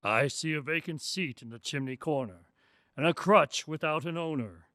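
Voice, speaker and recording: A man acts out a solemn ghost of Christmas for a radio drama. The voice is fairly high and forceful, with grave, deliberate, reproachful delivery in a dry recording. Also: dry recording, close to an everyday voice. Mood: stern, reproachful. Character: stern, solemn male Christmas spirit. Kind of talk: stage-style radio drama acting.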